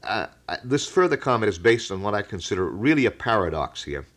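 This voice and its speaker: Guy doing local radio talk, lowish voice, really up and down, explains stuff in detail. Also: very animated, a bit bright. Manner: wordy, detailed, measured explanations. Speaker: radio talk show host. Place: radio studio.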